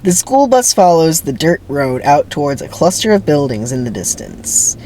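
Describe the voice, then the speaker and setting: A man's voice: on the high side, big pitch swings, normal speed, warm tone, faint music behind, low-quality recording. A man doing a theatrical dramatic reading of a screenplay as narrator, with wry asides and faint background noise.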